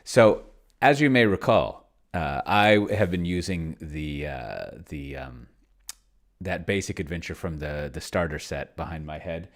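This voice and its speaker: A man with a strikingly deep voice talks through a casual livestream demonstration. His delivery is unscripted and offhand, wandering off mid-thought, correcting himself and shrugging off his own slips with self-deprecation. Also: lively intonation, unhurried. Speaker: livestreamer demonstrating solo tabletop roleplaying with an AI.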